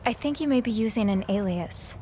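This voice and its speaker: A woman's voice: fairly high pitch, lively intonation, brisk, warm tone, slight background noise, natural voice. Woman playing an anxious, earnest young hotel maid. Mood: earnest, hushed. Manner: hesitant, earnest. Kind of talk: video game voice acting.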